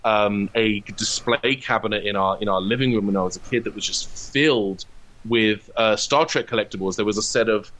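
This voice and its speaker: A British man speaks as a guest in a relaxed, conversational interview. His voice sits a little low, and he tells personal anecdotes in an informal way. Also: brisk, low-quality recording. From United Kingdom. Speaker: interview guest, comedian and writer. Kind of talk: casual conversational interview, reminiscing.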